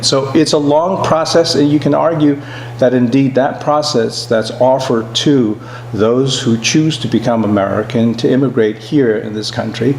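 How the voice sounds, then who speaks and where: An earnest man in his sixties gives his views as an interview guest. He talks in a deliberate, halting way at a middle pitch. The room is echoey, with a clear hum behind him. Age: 60s. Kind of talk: conversational political interview.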